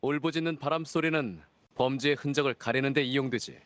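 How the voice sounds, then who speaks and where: A man's voice: very fast, fairly bright tone, dry recording, clean studio sound, lightly acted. Serious, rugged game nobleman voiced by an actor. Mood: cold, serious. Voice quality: rugged, deep-toned. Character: serious, cold, reserved nobleman in fantasy game.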